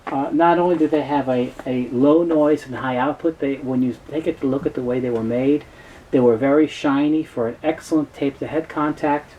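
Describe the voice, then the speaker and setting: A man giving an informal explainer talk as a product presenter, speaking in a halting, explanatory way. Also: mid-range voice, fairly even intonation, lo-fi sound. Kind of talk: informal explainer talk about audio gear. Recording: clear background noise.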